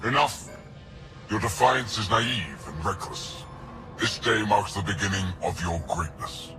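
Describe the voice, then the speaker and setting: An actor playing a menacing, contemptuous alien villain in a video game, with a commanding, grandiose manner. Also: male voice, fairly low pitch, expressive, a little slow. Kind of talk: scripted video game acting. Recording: music behind the voice.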